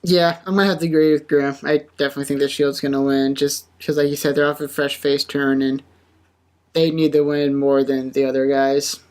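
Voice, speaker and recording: A woman with a low-pitched voice speaks as a podcast panelist. She chats casually, in an informal group discussion. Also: flat delivery, fairly quick, a little mellow.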